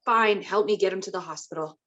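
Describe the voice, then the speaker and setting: A woman's voice: medium pitch, moderate pace, sharp tone, highs cut off, close to an everyday voice. A woman playing a firm, worried, bossy mother in a screenplay table read. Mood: alarmed, stern.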